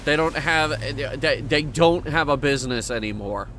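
A man's voice: on the high side, normal speed, fairly bright tone, a little hiss or hum. A man doing casual live game commentary as a streamer, chatting to viewers in a wry, matter-of-fact way.

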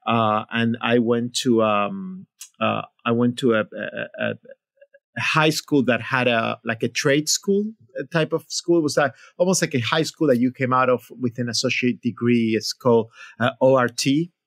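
A man in his forties from Argentina, a podcast guest who talks haltingly with many fillers and restarts. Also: mid-range voice, a bit bright, dry recording. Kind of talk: interview conversation.

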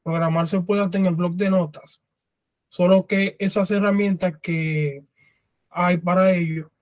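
A man's voice: high-pitched, fairly even intonation, unhurried, dry recording. A man speaking as a panelist on a video call, offering encouraging advice.

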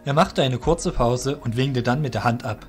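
A man's voice: medium pitch, moderate pace, soft background music. A man narrating a scripted fantasy audio drama as its storyteller.

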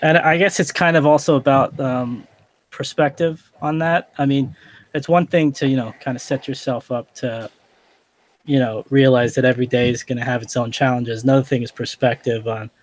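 A man's voice: mid-range voice, moderate pace. Stuffed-up man hosting a podcast. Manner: relaxed, rambling with many fillers. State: stuffed up, nasal. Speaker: podcast host. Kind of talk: casual podcast chat. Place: small office room indoors. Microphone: headset on a video call.

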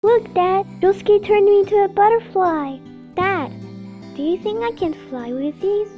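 A woman voicing a sweet, excitable little girl in an amateur anime comic dub. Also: very high-pitched, a little slow, narrow, tinny audio. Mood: excited, childlike. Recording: music behind the voice.

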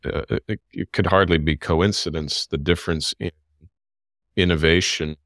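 Podcast host guy, deep voice, gushing thanks, dry video call audio. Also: fairly even intonation, normal speed, a bit bright, no room sound. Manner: effusive, praising, upbeat wrap-up.

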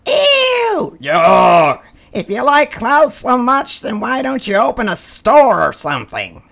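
A woman's voice: a little high, wildly swooping pitch, slow, gravelly, a bit bright, pitched above the performer's own voice, exaggerated voice. A woman voicing sarcastic, disgusted naked mole rats in an exaggerated, scornful way for a children's storybook. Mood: disgusted sarcasm. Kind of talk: children's storybook read-aloud.

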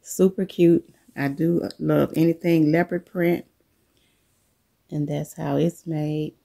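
A middle-aged woman coming down with a cold, showing the contents of her bag to viewers in a relaxed, unhurried chat from her living room. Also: sits low, creaky. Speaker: vlogger. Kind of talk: casual show-and-tell chat.

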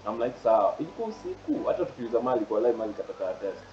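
A man's voice: medium pitch, big pitch swings, rapid-fire, mellow, roomy sound, far from the mic, muffled recording. A young man with a Kenyan accent hosting a video call, playful and slipping between English and Swahili. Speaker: host. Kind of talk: casual chat. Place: plain room indoors. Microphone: earphone mic on a video call.

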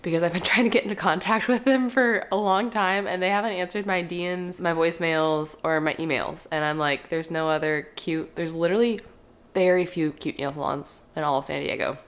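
Lifestyle vlogger, twenties, stuffy nose, slight rasp, lower pitch, chatty to camera at home. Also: female voice, steady tone, fairly quick. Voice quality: slightly raspy. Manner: chatty and upbeat, trailing into soft asides. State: stuffy, congested. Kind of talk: casual chat to camera. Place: living room and kitchen at home. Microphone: camera at close range.

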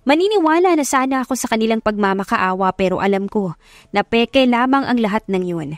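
A woman's voice: on the high side, animated, a little fast, dry recording, studio quality. A woman narrator reading a scripted first-person love story as a dramatic audiobook.

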